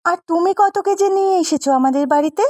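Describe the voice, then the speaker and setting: A brisk village housewife in a cartoon folk tale played by a woman, speaking. Her voice is very high and expressive, with music faintly underneath. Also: no room sound. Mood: questioning. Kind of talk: Bengali cartoon dialogue dubbing.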